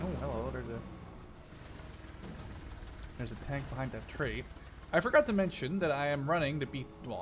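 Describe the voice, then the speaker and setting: A man in his twenties giving casual, chatty, playful gameplay commentary, often thinking aloud as he plays. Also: fairly high pitch. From Canada-based, Dutch. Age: 20s. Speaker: gaming video host. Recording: vehicle and game noise behind voice. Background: tank game sounds.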